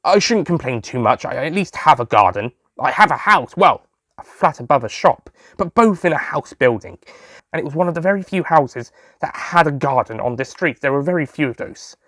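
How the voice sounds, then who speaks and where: A man's voice: a little high, lively intonation, moderate pace, a bit bright, dry recording, studio quality. A man playing a jolly, sentimental male sweet-shop owner who tells his own story in a fond, theatrical manner for an audio drama. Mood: chatty, reminiscing.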